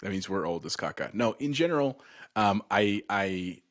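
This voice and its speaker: A man's voice: on the low side, animated, normal speed. A man co-hosting a casual gaming podcast, talking in a conversational, rambling way. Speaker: podcast co-host.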